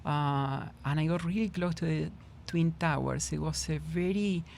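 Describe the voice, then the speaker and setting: A middle-aged man from Argentina speaks with an Argentine Spanish accent and a gravelly edge to his voice. He talks thoughtfully, often restarting and repeating words as he searches for the phrasing. Faint noise hums behind him. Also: on the high side, normal speed, a little mellow. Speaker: guest trauma therapist. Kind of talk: podcast interview.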